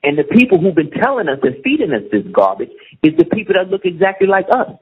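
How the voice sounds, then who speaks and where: A man's voice: high voice, wildly swooping pitch, quick pace, husky. A middle-aged man talking in a casual conversation, emphatic and often asking if the listener follows him. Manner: emphatic, keeps asking "you see what I'm saying". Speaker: guest. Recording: muffled lo-fi audio.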